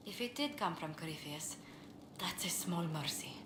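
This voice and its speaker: An actress playing a refined, earnest court diplomat in a video game, with courteous and formal speech. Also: female voice, on the low side, expressive, moderate pace, echoey room, noisy background, natural voice. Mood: wistful. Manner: courteous, formal, elegant phrasing. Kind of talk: scripted video game dialogue.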